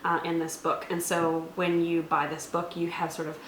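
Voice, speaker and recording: A woman with a slightly low voice explains things conversationally as a review co-host. She is recorded in an echoey room, with a faint layer of noise behind her. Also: monotone, moderate pace. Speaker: co-host of a tabletop game review. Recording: roomy echo, faint background noise.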